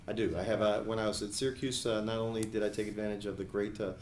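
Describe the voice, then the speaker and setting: A man in his forties from New Jersey, answering questions as an attorney and judicial candidate in an editorial board interview, speaking in a measured way with frequent hesitations. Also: lowish voice, little pitch movement, darkish tone, echoey room, slight background noise. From New Jersey, USA. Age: 40s.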